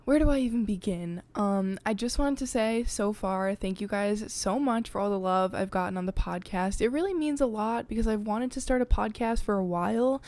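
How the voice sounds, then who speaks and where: A woman's voice: mid-range voice, moderate pace, hardly any pauses, darkish tone. A young woman hosting her own podcast, chatting in a confiding way with lots of filler words. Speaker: podcast host. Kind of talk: casual chat. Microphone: desk podcast mic.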